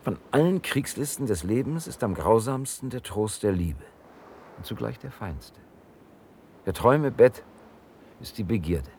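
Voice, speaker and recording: An actor playing a reflective, world-weary wanderer in a radio drama, measured and reserved. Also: male voice, on the low side, sing-song, darkish tone, slight background noise. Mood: melancholy, philosophical. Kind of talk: German radio drama acting.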